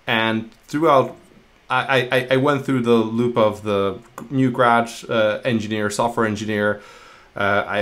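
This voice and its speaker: A man in his thirties from Hungary, a guest in a video-call interview, talking in a quick, casual way with many restarts and repeated words. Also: on the low side, fairly bright tone. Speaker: guest, engineering manager. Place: home room, video call. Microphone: earphone headset mic.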